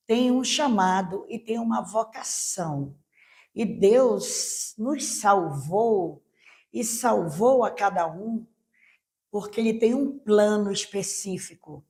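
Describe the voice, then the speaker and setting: Slow, measured woman pastor. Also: medium pitch, darkish tone. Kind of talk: recorded reflection on faith.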